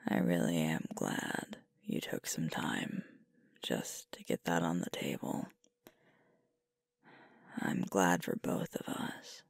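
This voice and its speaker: A woman's voice: extremely low pitch, dry recording, heavily acted. A woman playing a sweet, sleepy, affectionate girlfriend in an intimate ASMR roleplay, murmuring tenderly close to the microphone. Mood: affectionate, gently teasing. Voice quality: breathy, whispery. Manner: slow, drowsy, tender murmuring. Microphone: close microphone, dry.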